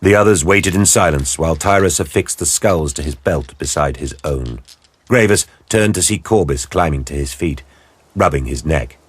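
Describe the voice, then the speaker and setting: Very deep, husky male dramatic narrator. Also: no room sound. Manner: grave, deliberate storytelling.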